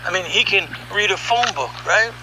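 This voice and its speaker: A man with a fairly high-pitched voice tells a story in a conversational podcast style. He is wry and musing, leaning on key words and pausing for drama. There is clear noise behind his voice. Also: expressive. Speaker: storyteller and voice session director.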